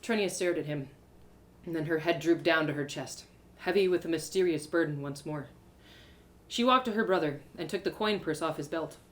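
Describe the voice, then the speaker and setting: A woman reading a first-person adventure story aloud in a dramatic, expressive way. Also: low voice, normal speed. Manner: dramatic, animated, with exclamations and sighs. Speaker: author reading her own story aloud.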